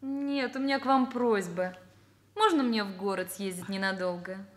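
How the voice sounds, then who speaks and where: A woman's voice: mid-range voice, moderate pace, natural voice. An actress playing a forgetful young secretary in a radio drama, girlish, apologetic and pleading. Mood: wheedling. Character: flustered, forgetful, wheedling young secretary girl.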